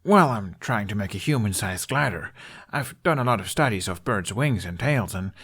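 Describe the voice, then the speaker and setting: A man's voice: on the low side, slightly rough, heavily acted. Man voicing an awkward, dry-witted young prince. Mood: enthusiastic, halting. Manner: hesitant, halting, deadpan.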